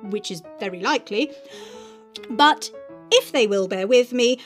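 A woman's voice: fairly high pitch, lively intonation, unhurried, soft background music. A woman podcast host who reads and speaks in an articulate, theatrical, emphatic manner. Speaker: podcast host reading aloud. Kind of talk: dramatic reading of an essay, then informal podcast chat.

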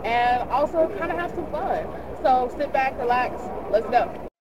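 A woman's voice: high-pitched, animated, unhurried. A woman from Texas hosting a casual, upbeat vlog, chatting and laughing as she introduces her street interviews outdoors. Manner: bubbly, upbeat, laughs between phrases. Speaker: vlogger interviewing passers-by. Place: outdoors on a busy city street. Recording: dull lo-fi audio with street noise.

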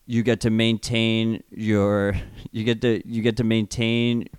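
A man's voice: fairly low pitch, flat delivery, takes it slowly, darkish tone, smooth, no room sound, studio quality. A man with a New York accent, co-hosting a casual podcast, speaking bluntly and swearing now and then. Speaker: podcast co-host.

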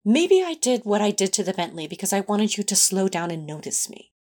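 A woman's voice: mid-range voice, sing-song, brisk, darkish tone. A woman playing a prim, tender male angel in a fan audio drama, speaking in a fussy, formal and earnest way. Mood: earnest, lovestruck.

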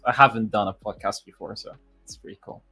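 A man's voice: lowish voice, fairly even intonation, fast, pushed and bright, husky, no room sound, soft background music. A thirty-year-old man from Poland whose first language is Polish, chatting casually as a podcast guest, rambling with fillers and restarts. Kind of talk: casual interview chat.

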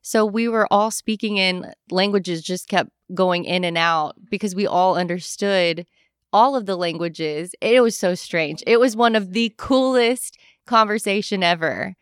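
A young adult woman chatting casually, telling animated travel stories and acting out what people said. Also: medium pitch, a little slow, smooth, dry recording, studio quality. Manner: animated storyteller, acts out quoted voices. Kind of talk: casual chat.

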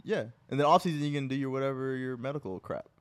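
A young man hosting a podcast, casually chatting and playfully pushing his guest with a teasing, animated manner. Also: mid-range voice, quick pace, clean tone. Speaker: podcast host. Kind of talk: casual chat.

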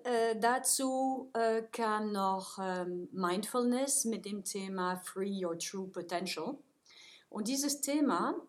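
A university lecturer whose first languages are English and French, answering interview questions hesitantly with many fillers. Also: female voice, medium pitch.

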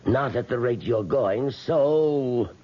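An actor playing an elderly, weakened millionaire in a radio drama, speaking formally and with measured, cold insistence. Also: male voice, mid-range voice. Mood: coldly insistent. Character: weak, elderly millionaire, polite but coldly demanding. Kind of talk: 1970s radio drama acting. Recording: dull 1970s radio broadcast recording.

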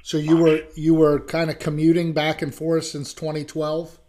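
Relaxed, chatty male host. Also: on the high side, moderate pace, slight background noise. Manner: relaxed, chatty, many fillers. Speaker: host and college instructor. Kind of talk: casual interview.

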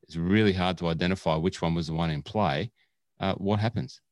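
Man, Australian accent, low pitch, rambling, jokey, dry sound. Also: brisk, dry recording. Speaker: podcast co-host. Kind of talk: casual chat.

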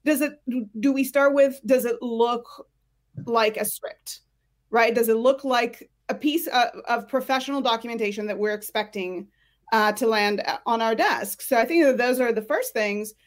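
Frank woman, higher-pitched, giving advice. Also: moderate pace. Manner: frank, direct. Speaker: screenwriting career coach, guest. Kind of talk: conversational expert advice.

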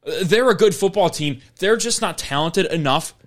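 A young man co-hosting a casual sports talk show, relaxed and good-humored while defending his side. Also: high voice, normal speed. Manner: easygoing, defends his side, laughs often. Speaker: sports podcast co-host.

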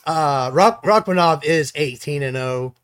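A man's voice: fairly high pitch, lively intonation, unhurried, a bit bright, clean tone. A man co-hosting a casual sports chat, enthusiastic and hedging as he corrects himself. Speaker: co-host picking fights.

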